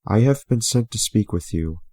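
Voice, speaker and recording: A deep, dry-recorded male guardian spirit acted by a man delivers messages from on high. He is stately, formal and detached, in fantasy audio drama style. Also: expressive, normal speed, dark tone, no room sound. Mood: solemn. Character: solemn, aloof male guardian spirit, divine messenger.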